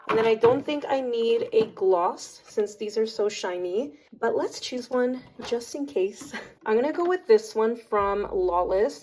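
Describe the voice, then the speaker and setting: A woman with a hoarse voice from a cold, chatting casually as she picks makeup for a beauty vlog. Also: on the high side. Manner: chatty, relaxed, picking products aloud. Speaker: beauty vlogger.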